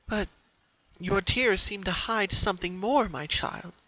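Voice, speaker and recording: A man voicing a gentle, wise old grandmother pony in a story reading, with a soft, soothing, slow and tender delivery. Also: high-pitched, no echo, pitched above the performer's own voice. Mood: solemn. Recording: dull, muffled home recording.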